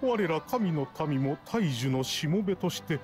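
A man voicing a solemn, courteous messenger creature of a sky people, reading game dialogue in a measured, formal way. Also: on the high side, lively intonation, soft background music.

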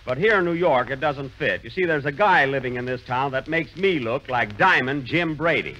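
A man's voice: medium pitch, animated, normal speed, sharp tone. A man hosting a 1940s radio comedy, self-important and indignant in his comic timing, recorded in a theater. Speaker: comedian host. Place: theater stage with live audience. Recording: lo-fi 1940s radio broadcast with hiss.

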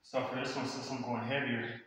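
Man talking quietly across an echoey gym. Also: mid-range voice, a little fast, recorded from a distance, a little hiss or hum. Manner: relaxed, muttering to himself between sets, often quiet and half-whispered. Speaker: fitness vlogger. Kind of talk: casual workout vlog, talking to camera between sets. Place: large empty indoor gym. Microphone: camera far across the room.

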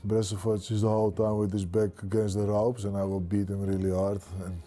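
A man in his thirties with a Dutch accent, a kickboxer speaking confidently in a pre-fight studio interview, halting with many fillers. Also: on the low side, flat delivery, mellow. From Netherlands. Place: studio with branded backdrop. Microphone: lapel.

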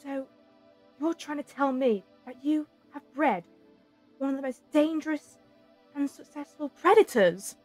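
Spirited young female sidekick, played by an actress, wry, slightly high voice, sci-fi radio play, light music underneath. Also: animated, a little slow, darkish tone. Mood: incredulous.

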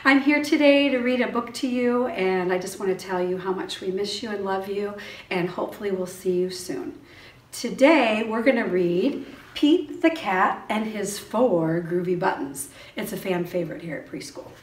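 A middle-aged woman reading a picture book aloud to preschoolers in an expressive, sing-song, exclamatory teacher's manner. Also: mid-range voice, normal speed. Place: small indoor preschool classroom. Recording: roomy indoor echo.

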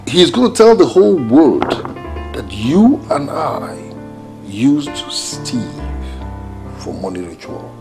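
A man's voice: mid-range voice, huge pitch swings, music in the background, full character voice. A Nigerian man acting an agitated husband in a domestic film drama, emphatic and repeating himself. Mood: agitated, insistent. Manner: emphatic, repetitive, deliberate. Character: agitated, dramatic husband worried for his brother.

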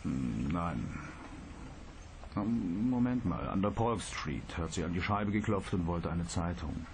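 An actor playing a plain-spoken, matter-of-fact cab driver in a radio drama, laconic and grudging. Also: male voice, low voice, expressive, takes it slowly, noisy background, full character voice.

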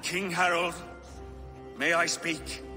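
An actor playing a solemn, war-weary older Viking king and father in a video game, speaking in grave, deliberate oratory. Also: a little high, level tone, over music. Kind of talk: dramatic video game acting.

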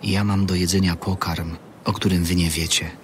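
An actor playing a calm, solemn prophet and teacher in an audio Bible drama, speaking in a measured way. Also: male voice, low voice, a little hiss or hum, lightly acted. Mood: earnest, teaching. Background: music.

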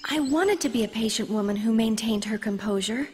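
A woman's voice: fairly high pitch, brisk, darkish tone, natural voice. A woman playing a bossy, playful, self-assured schoolgirl in an anime audio drama. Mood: wry reminiscing. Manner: playful, theatrical, teasing. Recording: music behind the voice.

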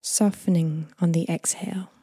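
Female meditation guide, breathy near-whisper, calm unhurried delivery, very dry close recording. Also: on the low side, no room sound. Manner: gentle, unhurried, calming delivery with long phrases trailing off. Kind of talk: guided body scan meditation, slow and soothing.

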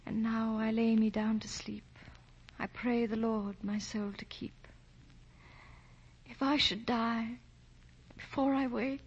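Young romantic heroine: high-pitched, devoted and brave, vintage radio play with dull archive sound. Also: female voice, little pitch movement, slow, long pauses, breathy edge, full character voice. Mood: faint, prayerful. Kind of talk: 1940s radio acting. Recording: muffled 1940 radio broadcast with music.

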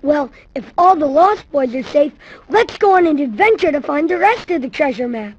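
A woman's voice: high voice, expressive, normal speed, dry recording, lo-fi sound. A woman voicing an earnest, eager little chubby boy, a loyal Lost Boy in a video game cutscene. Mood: earnest, grateful.

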